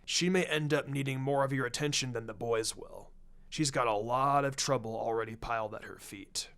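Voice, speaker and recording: A man voicing a grave, authoritative old village leader in a story reading, speaking in a measured, deliberate way. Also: medium pitch, creaky, a bit bright, smooth, pitched below the performer's own voice. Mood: grave, confidential. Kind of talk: storybook character voice.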